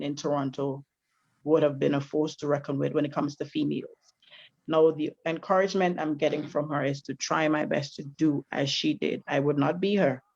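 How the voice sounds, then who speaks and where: A man's voice: on the high side, a little mellow, dull audio. A man from Grenada on a committee, speaking earnestly and reflectively in a panel discussion over a video call. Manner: earnest, reflective, with many restarts. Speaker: Grenada Day committee member.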